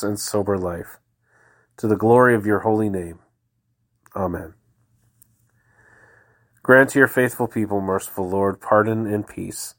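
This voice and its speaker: A man with a slightly low voice leads a daily prayer service, reading the liturgy in a calm, devotional way with slow pauses. He sounds stuffy from a head cold. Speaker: podcast host leading daily prayer.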